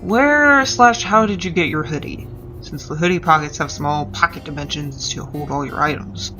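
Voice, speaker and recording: A woman's voice: low-pitched, moderate pace, bright, forceful tone, poor audio. A woman voicing a considerate, curious disembodied voice inside a hero's head in a comic fan dub. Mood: curious, careful. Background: music.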